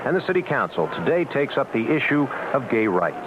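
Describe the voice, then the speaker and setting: A man's voice: medium pitch, sing-song, a little fast. An American man reading scripted news headlines on 1970s AM radio. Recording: dull, noisy 1970s AM radio recording.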